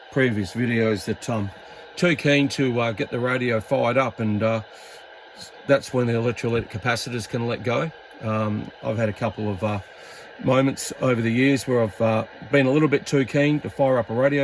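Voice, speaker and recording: Casual man talking over steady background noise. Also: lowish voice, poor audio. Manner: rambling, informal, thinking aloud. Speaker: radio hobbyist demonstrating gear. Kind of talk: casual hands-on video demonstration.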